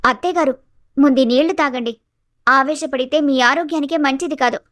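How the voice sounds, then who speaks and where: A woman playing a composed, outspoken young daughter-in-law in an animated family story, speaking firmly and politely with reproach. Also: high voice, a little fast. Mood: calm, advising.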